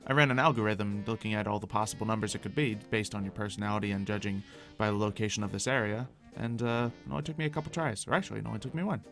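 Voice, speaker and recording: A man's voice: on the low side, rapid-fire, vocal fry, a bit bright, soft background music. A man playing a polite, smug android inventor in an improvised game roleplay, musing in formal, precise wording. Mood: explaining, smug.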